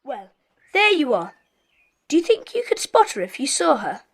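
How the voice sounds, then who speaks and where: High-pitched, bossy schoolboy club leader. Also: big pitch swings, a bit bright, full character voice, poor audio. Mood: earnest, serious. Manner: brisk, commanding, serious. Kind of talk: audiobook drama acting.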